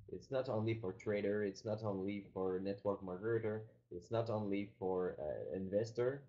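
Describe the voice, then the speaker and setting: A man with a Quebec French accent, presenting on a business webinar, his deep, mellow voice coming through dull lo-fi online audio. He speaks haltingly, stopping and restarting his sentences. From Quebec, Canada. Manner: halting, many fillers and restarts.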